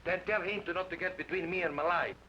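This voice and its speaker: An actor playing a grieving, defiant Mexican village blacksmith, in 1940s radio acting. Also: male voice, high-pitched, quick pace, natural voice. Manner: defiant and bitter. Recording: muffled old radio broadcast with hiss.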